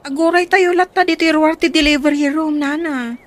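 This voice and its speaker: A woman's voice: sits high, steady tone, moderate pace, close to an everyday voice. A woman playing a concerned young college student in an Ilocano radio comedy-drama. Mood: anxious.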